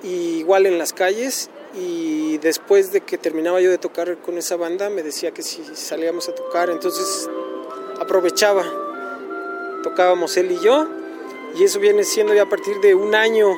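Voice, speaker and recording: A man who plays music on the street, answering questions in a relaxed, rambling way. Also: high voice, moderate pace. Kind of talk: casual interview answers.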